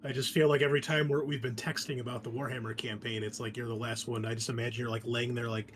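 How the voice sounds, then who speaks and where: A man's voice: mid-range voice, flat delivery, quick pace, slight background noise. A man hosting and running a tabletop game session, chatting casually and welcoming his players in a friendly way. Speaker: game master and show host.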